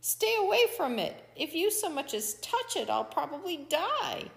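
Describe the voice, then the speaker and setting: A woman's voice: extremely high pitch, wildly swooping pitch, unhurried, darkish tone, in a large hall, pitched above the performer's own voice, heavily acted, rough recording. A woman voicing an arrogant, bullying coyote in a folk tale, with a demanding, exclamatory manner that can tip into frantic pleading.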